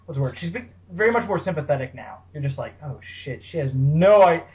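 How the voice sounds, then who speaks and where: A man's voice: a little high, animated, fast. A man hosting a podcast, chatting casually about fan fiction with banter and frequent swearing. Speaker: podcast host. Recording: dull lo-fi podcast audio.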